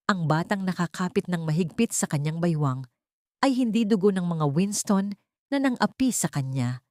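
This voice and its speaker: Low-pitched Filipino woman narrating drama. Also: darkish tone, no echo, clean studio sound. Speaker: audiobook narrator. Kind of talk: dramatic scripted story narration.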